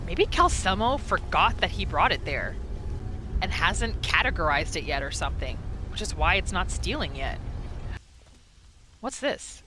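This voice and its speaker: A woman narrating her video game roleplay in an informal, expressive and reactive way. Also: lowish voice, a little slow, noisy background. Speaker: gaming roleplay narrator and player. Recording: voice-over with game music behind.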